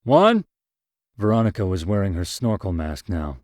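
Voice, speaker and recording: Low-voiced man narrating a story, dry close mic. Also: dry recording. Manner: measured, even storytelling. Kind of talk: scripted first-person story narration.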